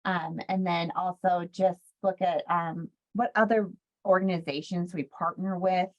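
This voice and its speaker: Lady doing a casual slide webinar, kinda low voice, stumbles and corrects herself, helpful, muffled lo-fi sound. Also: steady tone, a little slow. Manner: helpful, conversational, hesitant phrasing with frequent self-corrections. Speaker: webinar presenter for a health care quality improvement organization. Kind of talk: informal slide presentation. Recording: dull, lo-fi webinar audio.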